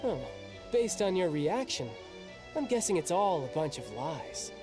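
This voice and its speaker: A man's voice: high-pitched, sing-song, a little slow, over music. A man voicing a cocky, playful young male warrior in a video game, teasing and wry. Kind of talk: game dialogue.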